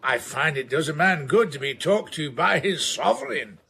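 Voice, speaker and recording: An actor playing an elderly, opinionated man of letters in a period radio drama, speaking in measured, emphatic, formal pronouncements. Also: a little high, animated, creaky, sharp tone, exaggerated voice. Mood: proud.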